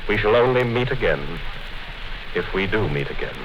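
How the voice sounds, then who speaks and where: An actor playing a calm, commanding party official in a measured, deliberate 1950s radio drama style. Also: male voice, fairly low pitch, close to an everyday voice. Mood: grave, solemn. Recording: noisy, dull 1950s radio recording.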